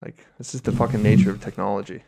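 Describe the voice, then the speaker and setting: A man's voice: fairly low pitch, fairly even intonation, fast, gravelly, background noise. A young man co-hosting a podcast, talking casually in an easygoing, reassuring and apologetic manner. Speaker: podcast co-host. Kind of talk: casual chat.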